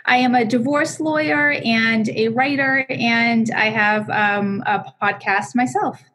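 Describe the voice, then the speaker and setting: A woman's voice: on the high side, steady tone, slow, fairly bright tone. A woman with a Boston accent, a divorce lawyer explaining her views as a podcast guest, with frequent "um"s. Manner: explanatory, uses fillers like um. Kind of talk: conversational interview answers.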